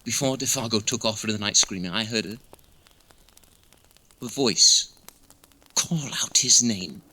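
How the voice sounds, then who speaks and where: A man playing a shaken, traumatized young man in a horror audio drama, with a halting, haunted delivery. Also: lowish voice, sharp tone, full character voice, low-quality recording.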